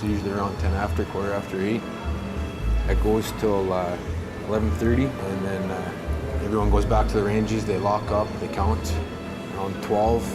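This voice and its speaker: A man in his twenties, an inmate serving a life sentence, calmly describing a daily routine in a documentary interview. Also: on the low side. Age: 20s. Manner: matter-of-fact, listing times, with fillers.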